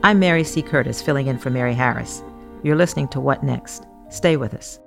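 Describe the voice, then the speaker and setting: Woman, podcast host, gravelly voice, measured scripted narration, faint music underneath. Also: extremely low pitch. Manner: measured, explanatory.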